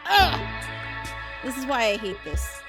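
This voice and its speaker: A woman's voice: fairly high pitch, big pitch swings, slow pace, vocal fry. A woman hosting a casual, chatty online group reaction show. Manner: nervous. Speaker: animator. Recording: music playing behind the voice.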